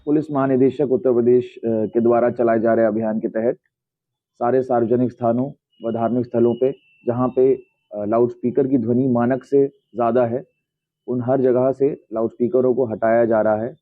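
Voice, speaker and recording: Male official giving press statement, monotone n formal, dry tinny phone-like sound. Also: medium pitch, normal speed, dry recording. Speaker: police official. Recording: thin phone-like narrow-band audio.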